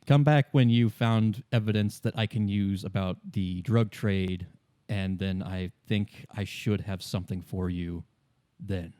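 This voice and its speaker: A composed, world-weary businesswoman voiced by a man speaks in a lowish voice with measured, halting phrases. She is cryptic, in the style of a tabletop game character, recorded dry and close. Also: fairly even intonation, mellow, no echo. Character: cryptic, world-weary woman of a shipping company.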